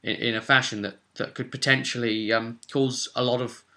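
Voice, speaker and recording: A man's voice: mid-range voice, steady tone, moderate pace, sharp tone. A man talking informally in a casual gaming vlog, thinking aloud as a tabletop game master in a conversational way.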